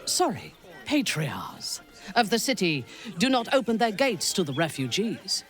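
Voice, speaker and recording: A man's voice: high-pitched, sing-song, a little slow, fairly bright tone, soft background music, heavily acted. A man playing a stern, outspoken outsider in a video game, speaking in a formal, blunt, argumentative way. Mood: indignant, arguing. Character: stern outspoken outsider arguing for hard pragmatism.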